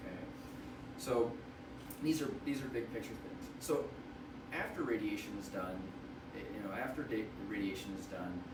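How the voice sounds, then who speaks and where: Hoarse man explaining, echoey and distant. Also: lowish voice. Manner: measured, restarts phrases often. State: hoarse from wildfire smoke. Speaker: radiation oncologist. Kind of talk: explaining to patients. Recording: roomy, from far off, noisy.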